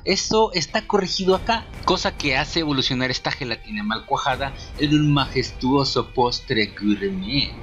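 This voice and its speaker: A man's voice: mid-range voice, expressive, moderate pace, few pauses, fairly bright tone, soft background music. A Mexican man giving an informal scripted film review in a sarcastic, slangy, opinionated way. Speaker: video reviewer.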